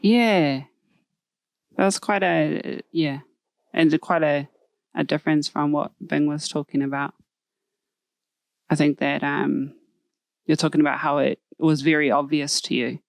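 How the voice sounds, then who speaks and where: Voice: mid-range voice, fairly even intonation, unhurried, vocal fry, long pauses, no echo, poor audio. A guest interviewer asking curious, probing questions in a light, casual way.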